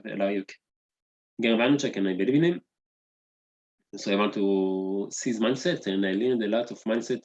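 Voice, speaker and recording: A man in his thirties whose first language is Hebrew, hosting his own video podcast with excitable, repetitive talk that keeps calling out to "guys". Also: lowish voice, lo-fi sound. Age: 30s. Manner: excitable, repeats words and says "guys" often. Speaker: podcast host. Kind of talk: enthusiastic solo video podcast intro.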